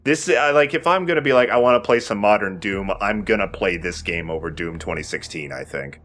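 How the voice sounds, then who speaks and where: Low-voiced man casually commentating on a game. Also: lively intonation, fairly quick, fairly bright tone, slight background noise. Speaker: let's play host.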